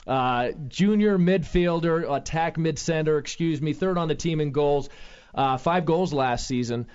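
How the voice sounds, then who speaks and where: A man's voice: fairly high pitch, measured pace, darkish tone, clear, resonant tone. A man hosting a sports radio show, talking energetically with many fillers and restarts. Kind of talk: casual sports radio talk. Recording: lo-fi radio studio sound.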